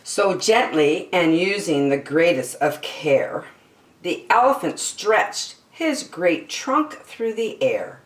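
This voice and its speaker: A woman narrating a children's storybook expressively, with measured, dramatic phrasing. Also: on the low side, roomy sound, far from the mic. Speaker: storytime host, reader. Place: indoors, book filmed on a tabletop.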